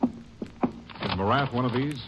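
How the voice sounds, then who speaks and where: An actor playing an earnest Texas Ranger captain in a 1950s radio drama. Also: male voice, medium pitch, slow pace, dull audio, close to an everyday voice. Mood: intent. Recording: faintly noisy vintage radio recording.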